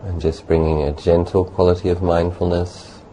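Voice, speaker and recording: A man leading a guided meditation as a teacher, speaking gently and unhurriedly in short phrases with long pauses. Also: sits low, flat delivery, rough recording. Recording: faint background noise.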